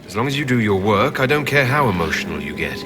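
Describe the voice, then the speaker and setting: A man's voice: lowish voice, sing-song, fast, over music. An actor playing a cold, haughty male fantasy leader in a video game, with clipped, dry delivery.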